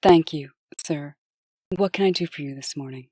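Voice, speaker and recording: Lady as low voiced deputy in a comic dub, composed, formal, dry wit, no room sound. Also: mellow, breathy edge, no room sound. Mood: respectful. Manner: measured, formal. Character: composed, dutiful, dryly candid female deputy.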